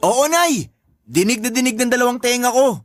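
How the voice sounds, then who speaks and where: A man's voice: very high-pitched, animated, moderate pace, no room sound, studio quality. A man playing a sly, cocky older brother in a Tagalog radio drama, smugly tattling and goading.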